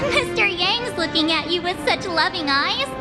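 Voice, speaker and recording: A woman's voice: very high-pitched, heavily acted. A woman voicing a perky, playful young girl traveler in a video game, chatty, curious and exclamatory. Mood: excited, teasing. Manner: bubbly, exclamatory, teasing.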